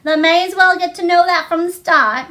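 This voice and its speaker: Lady doing a high-voiced young country maid in a storybook, gossipy n blunt, rustic dialect. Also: moderate pace, sharp tone. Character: young Yorkshire housemaid, chatty, blunt, anxiously deferential to masters.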